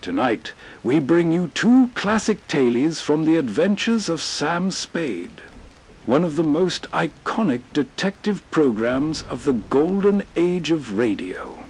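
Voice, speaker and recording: A man narrates as a host in a soothing, scripted style. His voice is slightly high for a man and husky, and he takes his words very slowly. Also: very animated, a little mellow, low-quality recording.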